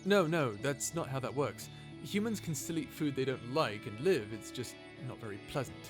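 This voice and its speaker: A sarcastic, grumbling scientist acted by a man talks in a casual, exasperated way, as in an audio roleplay. His voice sits at a middle pitch. Music plays clearly underneath. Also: animated, moderate pace, lightly acted. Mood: casual, curious. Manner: sarcastic, informal, exasperated, sighing. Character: wry, grumbling human scientist, reluctant companion of a robot. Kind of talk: audio roleplay drama, conversational acting.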